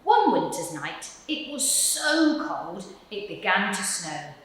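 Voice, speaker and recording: Female children's story reader: dramatic emphasis, swooping intonation, measured speed, strong room echo. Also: medium pitch, very animated, a bit bright. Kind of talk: expressive children's picture-book reading. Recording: very echoey room.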